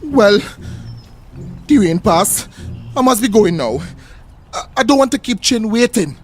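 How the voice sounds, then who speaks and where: Flustered young Trinidadian man, swooping pitch. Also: animated, unhurried. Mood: uneasy, reluctant. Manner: hesitant, stammering. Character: shy, flustered young man fending off older admirer. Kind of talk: radio play acting. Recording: radio play with faint music behind.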